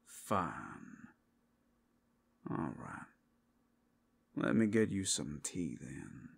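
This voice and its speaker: A man's voice: sits low, big pitch swings, very slow, gravelly, voice pushed down, heavily acted. A man playing a wary, protective werewolf in an audio roleplay, muttering tersely and grudgingly. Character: grumbling protective male werewolf, wary and reluctant.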